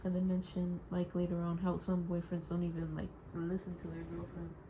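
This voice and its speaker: A young woman talks through a private audio diary, rambling and halting, full of "like"s. She sounds hurt but wry, as if holding back tears. Her voice sits fairly low, and the lo-fi recording is muffled with a steady noise behind it. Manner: rambling, hesitant, heavy use of "like". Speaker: audio diarist. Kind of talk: personal audio diary monologue. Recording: dull lo-fi recording with clear background noise.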